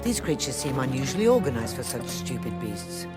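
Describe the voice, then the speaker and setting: A woman's voice: extremely low pitch, very animated, brisk. A woman voicing a cold, cryptic seer in a game, speaking in an aloof, cutting way. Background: music.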